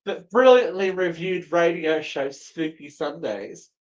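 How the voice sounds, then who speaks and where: A man's voice: on the high side, animated, a little slow, dull audio. A man co-hosting in casual conversation, telling stories in a rambling, enthusiastic way. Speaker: co-host. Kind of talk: casual chat.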